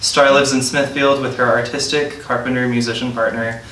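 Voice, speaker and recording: A man's voice: medium pitch, little pitch movement, normal speed, a bit bright. A man acting as host, reading a scripted introduction of a featured guest aloud. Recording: roomy, distant mic, faint noise.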